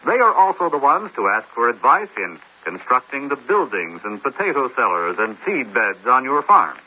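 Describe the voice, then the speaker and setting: A male announcer reading a scripted public service message in a measured, earnest way. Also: medium pitch, very animated, a little hiss or hum. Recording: muffled old radio broadcast.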